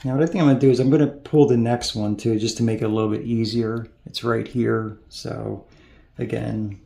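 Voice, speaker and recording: A man's voice: medium pitch, fairly even intonation, fairly quick, creaky. A man repairing electronics at a home workbench, thinking aloud and mumbling as he works. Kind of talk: casual workbench narration. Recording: echoey room, loud background noise.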